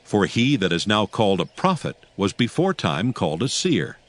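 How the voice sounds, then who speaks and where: Low-voiced, expressive male scripture narrator. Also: moderate pace. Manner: formal, storytelling.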